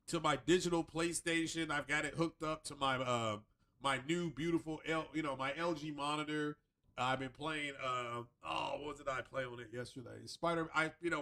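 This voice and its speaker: Male stream host, raspy from a cold, bright forceful tone, loose rambling chat. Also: fairly high pitch. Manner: rambling, chatty, loose restarts. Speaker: tech channel host. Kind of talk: casual live-stream chat.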